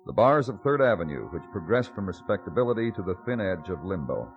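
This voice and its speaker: An actor playing a weary, hard-boiled New York police detective, terse and clipped, in old radio crime style. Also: male voice, low-pitched, sing-song, fast, faint music behind. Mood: brooding, poetic. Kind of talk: old-time radio crime acting and noir narration. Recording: muffled vintage radio recording.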